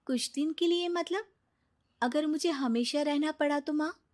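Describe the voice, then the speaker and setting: A woman's voice: sits high, a little slow, a little mellow, pitched above the performer's own voice. A woman voicing an earnest, unsure young married daughter with a plaintive, questioning manner in a cartoon moral story. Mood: worried.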